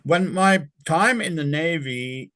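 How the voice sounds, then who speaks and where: A man's voice: a little high, expressive, a bit bright, no room sound. Older man, interview guest, rambling stop-start delivery, dry close sound. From born in England, raised in Canada. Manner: halting, with many fillers and restarts. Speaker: interview guest, former navy sailor. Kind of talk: casual recollection in an interview.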